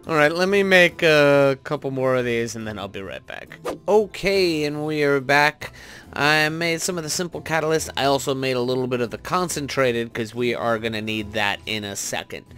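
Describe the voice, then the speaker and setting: Male gaming host, medium pitch, informal think-aloud commentary, slightly unsure step-by-step delivery, music underneath. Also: normal speed, a bit bright.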